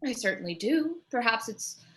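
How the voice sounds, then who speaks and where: A woman playing a cool, sardonic, much-married widow in a comedy table read, dry and deliberate. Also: a little high, lively intonation, pushed and bright. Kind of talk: live table read of comedy film script.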